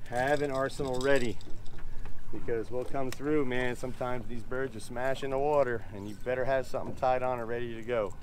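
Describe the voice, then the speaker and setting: A man in his forties casually showing how he rigs and sets fishing lines, relaxed and folksy, recorded on an open boat. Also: mid-range voice. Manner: relaxed, folksy, explains while working the rods. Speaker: fishing channel host. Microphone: action camera mounted on the boat. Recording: noisy outdoor boat recording. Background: loud wind, engine and water noise.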